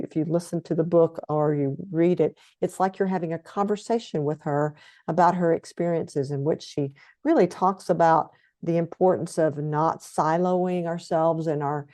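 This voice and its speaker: A woman's voice: low voice, mellow, no echo, studio quality. A woman co-hosting a podcast, talking and asking questions in a conversational interview style. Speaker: co-host, interviewer.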